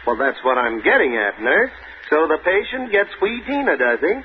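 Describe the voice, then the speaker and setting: A man's voice: fairly high pitch, very animated, normal speed. A tinny vintage radio recording with faint hiss carries a persistent questioner. His delivery swings up and down, chatty and probing, in scripted ad style. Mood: curious. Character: inquisitive, persistent man quizzing a nurse.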